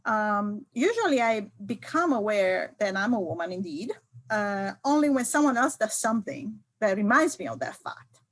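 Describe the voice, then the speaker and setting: A woman's voice: medium pitch, expressive, moderate pace. Hesitant middle-aged woman IT leader. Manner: hesitant, many fillers and restarts. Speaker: IT leader guest. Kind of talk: remote interview conversation.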